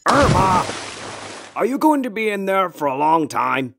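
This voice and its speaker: A woman voicing gruff, theatrical male comic characters, bossy and easily exasperated. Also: lowish voice, sing-song, a little slow, fairly bright tone, a little hiss or hum, full character voice. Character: gruff, exasperated father; swaggering teenage bully boy. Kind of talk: comic fandub acting.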